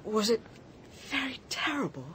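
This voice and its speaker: An actress playing a gentle, sympathetic British woman in a radio drama, speaking in a soft, hushed, concerned way. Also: sing-song, normal speed, poor audio. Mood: horrified sympathy. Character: gentle, sympathetic, horrified woman dinner guest. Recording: faint rumbling background noise.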